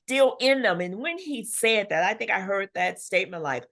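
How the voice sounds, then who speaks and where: A woman's voice: mid-range voice, expressive, moderate pace, a bit bright. A middle-aged woman talking candidly as an interview guest, emphatic and self-assured, often quoting herself as she tells her story. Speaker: guest author.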